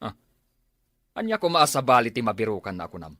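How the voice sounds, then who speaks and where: A man's voice: medium pitch, very animated, quick pace, pushed and bright, no room sound. Man as a shiftless, grumbling father, dry sound. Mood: irritated, evasive. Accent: Ilocano. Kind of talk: radio drama acting.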